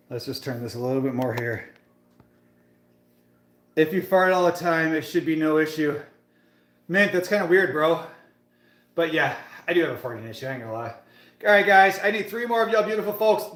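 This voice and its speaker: A man's voice: on the high side, a bit bright, reverberant. A man in his late thirties hosting a casual fitness livestream, chatting and hyping up viewers between sets in a gym. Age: 30s. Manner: chatty, hyped, talks to viewers in the chat. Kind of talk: casual live-stream chat while working out.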